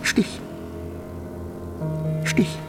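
An actor playing a tormented, haunted soldier in a radio drama, speaking haltingly and often dropping towards a whisper. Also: male voice, over music. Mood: haunted, agitated. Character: tormented, haunted young soldier hearing voices, submissive to superiors. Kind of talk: intense radio drama acting.

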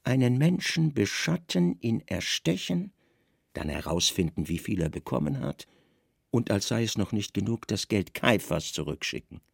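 Male actor as Roman spymaster, radio drama: formal, clipped, obedient yet cunning, low creaky voice. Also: sing-song, normal speed, dry recording, studio quality, heavily acted. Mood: assured, sly. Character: deferential, stern Roman secret service commander, coolly cunning. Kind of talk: radio play dialogue.

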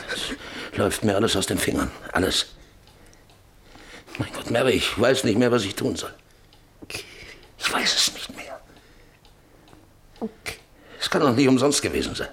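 Bitter obsessed landowner in a german radio play, deep gravelly voice, brooding and insistent. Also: male voice, a little hiss or hum. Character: embittered, obsessed, paralysed landowner John Beverly drilling for oil.

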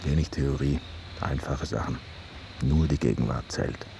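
An actor playing a gruff, wry veterinarian in his late fifties who talks in aphorisms, in a radio drama. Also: extremely low pitch, a little slow, dark tone, slight background noise, heavily acted. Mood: wry, reflective. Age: 50s. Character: gruff, wry, philosophical veterinarian aged fifty-nine.

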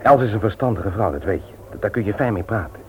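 An actor in a radio play, playing an earnest family man who speaks frankly and in a measured way. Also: on the low side, lively intonation. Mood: encouraging. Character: Willem, earnest frank friend, husband and doting father. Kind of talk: Dutch radio play acting. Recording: tinny, noisy old radio play recording.

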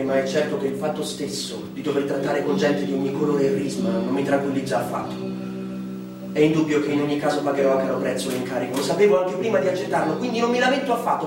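A man's voice: mid-range voice, natural voice. A man on stage playing an upright, principled lawyer around forty, formal and earnest. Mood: resigned, earnest. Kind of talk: theatrical stage acting. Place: echoey theatre stage. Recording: very echoey theatre, distant mic, loud guitar music.

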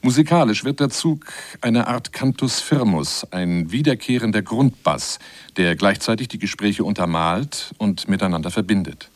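A man's voice: lowish voice, lively intonation, moderate pace, dry recording. A man reading scripted narration for a German radio feature as its presenter.

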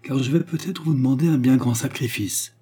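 Storybook role: repentant courtesan played by a male reader, solemn and commanding, declamatory, with a husky, dark tone. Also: medium pitch, a little fast, pitched above the performer's own voice, lightly acted. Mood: mysterious, earnest. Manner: formal, declamatory, emphatic. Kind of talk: dramatic storybook dialogue.

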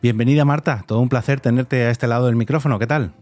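A man's voice: mid-range voice, steady tone, quick pace, a little mellow, faint music behind. A middle-aged man with a Spanish accent presents a podcast and interviews a guest in a conversational style. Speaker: podcast host.

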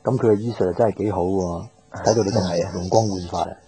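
Earnest, low-voiced Hong Kong young man. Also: steady tone, a little fast. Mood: friendly. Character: earnest young man, anxious and wistful in love. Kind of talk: 1990s radio drama acting. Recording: radio drama over guitar music.

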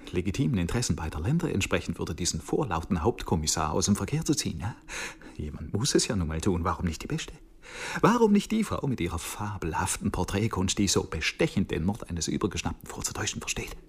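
Sardonic chief detective, radio drama: low and creaky, wordy, needling, ironic. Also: male voice, slight background noise. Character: sardonic male chief police detective, talkative under threat. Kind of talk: radio crime drama acting.

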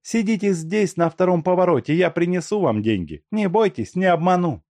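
Bossy, scornful thief girl, pitched high and sharp; male narrator plays her. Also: expressive, normal speed, no room sound, studio quality. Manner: sharp and scolding. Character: fierce young woman thief, scornful and bossy. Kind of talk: audiobook character voice.

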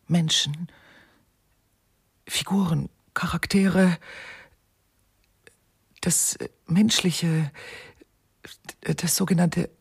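Slow, husky, weary widow. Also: female voice, darkish tone, dry recording, studio quality, heavily acted. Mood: hesitant, hushed. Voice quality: husky, creaky, low for a woman. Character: artist's widow, weary, detached, hesitant woman. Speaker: witness being questioned. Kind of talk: German radio crime-comedy acting.

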